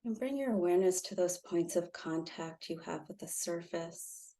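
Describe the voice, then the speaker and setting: Low, mellow woman guiding meditation. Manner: soft, soothing, gently instructive with long pauses. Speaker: meditation guide, intuitive healer. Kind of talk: guided meditation.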